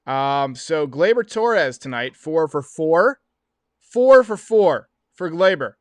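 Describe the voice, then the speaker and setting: A man in his thirties with a slightly hoarse voice, giving casual, emphatic postgame sports commentary as a livestream host, close on a desk microphone. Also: fairly high pitch, sing-song, slow pace, dry recording. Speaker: host of a baseball livestream show. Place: small home room.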